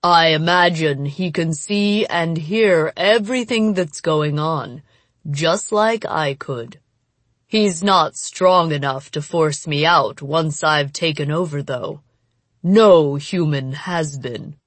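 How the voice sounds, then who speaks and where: A woman voicing a cruel, mocking male demon in an audiobook, speaking in a slow, menacing, gloating way. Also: deep voice, no echo. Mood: smug. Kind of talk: dramatic audiobook character voice.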